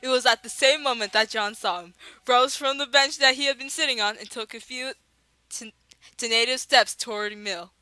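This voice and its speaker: A woman's voice: on the high side, moderate pace, pushed and bright, clean tone, dry recording, poor audio. A woman reading fan fiction aloud with friends, laughing often and tripping over words. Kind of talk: giggly casual dramatic reading. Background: faint classical music.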